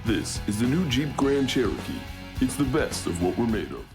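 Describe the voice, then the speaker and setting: A smooth, theatrical announcer voice playing a late-night craving come to life in a commercial. A man acts it out over music. The delivery is polished and even, in a middle register. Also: medium pitch, normal speed, lightly acted. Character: man voicing a personified food craving in a commercial. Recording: studio ad audio with music underneath.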